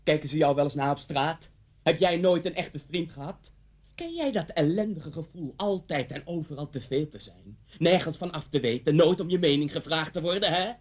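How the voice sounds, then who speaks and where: A man's voice: on the high side, moderate pace, dull audio, lightly acted. An actor playing a vengeful, deranged middle-aged man in a radio play, mocking and theatrical as he threatens someone. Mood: accusing, bitter. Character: vengeful, deranged man taunting his old schoolteacher at gunpoint.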